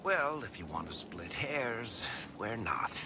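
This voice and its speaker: An actor playing a wry, guilt-ridden dwarf storyteller in a video game, blunt and sardonic but hesitant when pressed. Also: male voice, lowish voice, sing-song, takes it slowly, background noise. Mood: dry, sardonic.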